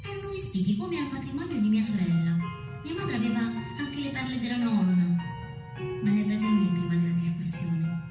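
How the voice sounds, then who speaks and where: A woman's voice: a little high, very animated. Echoey wistful young woman. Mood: reflective. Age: young adult. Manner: storytelling with deliberate repetitions. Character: wistful, dreamy young woman recalling childhood dreams. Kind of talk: dramatic monologue in a radio play. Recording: echoey, distant recording with music behind. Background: music.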